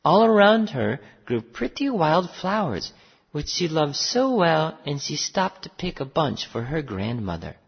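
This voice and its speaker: A storyteller reading a scripted fairy tale for English learners in a deliberate, expressive way. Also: medium pitch, slightly rough. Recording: muffled audio with long reverb.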